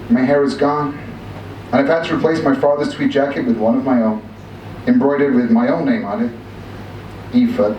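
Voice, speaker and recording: A man's voice: fairly low pitch, close to an everyday voice. A man performing a pompous big-game hunter, echoey room. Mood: wistful, intent. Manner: pompous, theatrical, old-fashioned diction. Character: obsessive, boastful aging male big-game hunter, bald, wistful. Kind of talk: dramatic storytelling monologue read aloud. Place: live reading in a room. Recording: echoey room from a distance, noisy.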